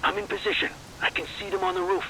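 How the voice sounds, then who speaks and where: A man's voice: sits high, very animated, fast, vocal fry, pushed and bright, slight background noise, exaggerated voice, low-quality recording. An actor playing a loyal, earnest veteran police marksman, middle-aged, in a video game drama. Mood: steady, encouraging.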